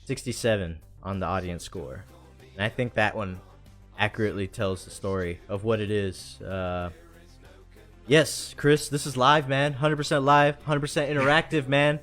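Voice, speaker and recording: A man's voice: fairly low pitch, moderate pace, a bit bright. A man hosting a live stream in casual chat, enthusiastic and slangy, with music behind him.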